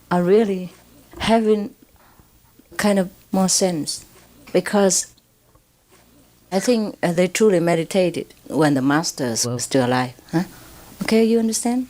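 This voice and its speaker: A woman's voice: lowish voice, lively intonation, unhurried, long pauses, a little mellow. A woman with a Vietnamese accent gives a loose, rambling spiritual talk, often checking in with her listeners and chuckling as she goes. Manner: rambling, with frequent checks like "you know" and "huh?"; chuckles often while talking. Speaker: spiritual teacher. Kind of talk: informal spiritual lecture.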